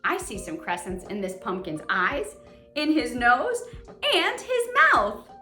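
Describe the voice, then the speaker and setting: A woman's voice: fairly high pitch, wildly swooping pitch, sharp tone. A woman hosting a children's lesson and read-aloud, speaking in an exaggerated child-directed way and putting questions to her listeners. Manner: exaggerated child-directed delivery, asking questions and pausing for answers. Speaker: children's teacher and host. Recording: roomy echo with plucked guitar music. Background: plucked guitar music.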